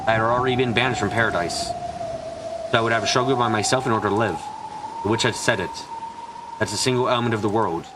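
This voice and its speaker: A man's voice: lowish voice, fast talker, long pauses, background noise. A man reading a visual novel aloud as dramatic scripted narration on a stream.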